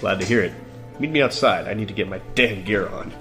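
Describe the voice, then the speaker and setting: An actor playing an easygoing, level-headed peacemaker among adventurers in a fantasy audio drama. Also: male voice, fairly low pitch, fairly quick, sharp tone. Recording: music behind the voice.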